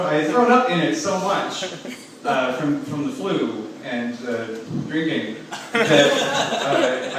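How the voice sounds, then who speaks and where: A young man doing a stand-up comedy set in a club, rambling and self-mocking, full of filler words and swearing. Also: on the high side. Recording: echoey room recorded from a distance with noise.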